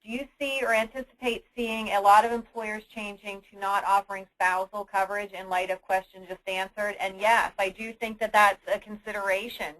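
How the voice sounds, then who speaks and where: A woman hosting a conference call briefing, answering submitted questions in an explanatory, businesslike and somewhat halting manner. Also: medium pitch, little pitch movement, a bit bright, poor audio. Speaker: host of an insurance agents' conference call. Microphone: telephone conference line.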